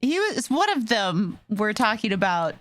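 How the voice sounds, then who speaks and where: A woman's voice: mid-range voice, very animated, measured pace, no echo. A woman chatting casually as a co-host on a podcast.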